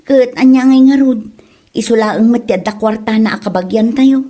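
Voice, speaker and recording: A woman's voice: a little high, normal speed, warm tone, natural voice. A woman playing a bossy, scheming married woman in a comedy drama, with an emphatic, scolding way of talking. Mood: worried. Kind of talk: comedy drama voice acting in Ilocano.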